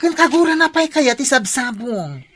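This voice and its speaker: An actress playing a nosy, irritable daughter with an Ilocano accent in a comedy radio drama, loud and complaining. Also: female voice, sits high, sing-song, moderate pace, slightly rough, heavily acted. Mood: suspicious, grumbling.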